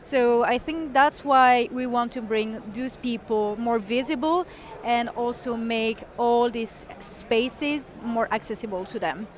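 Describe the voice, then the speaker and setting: A woman guest at a conference interview, explaining her community work eagerly in quick bursts. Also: on the high side. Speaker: software engineer and community guest.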